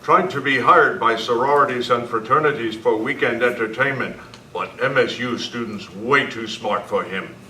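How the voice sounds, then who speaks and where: A man's voice: mid-range voice, moderate pace, pushed and bright, lightly acted. A man acting a stoic Western sidekick in a radio play, speaking clipped pidgin English without articles. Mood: matter-of-fact. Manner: clipped, article-dropping speech. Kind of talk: old-time radio play reading by club members. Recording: echoey meeting room from far off, faint noise.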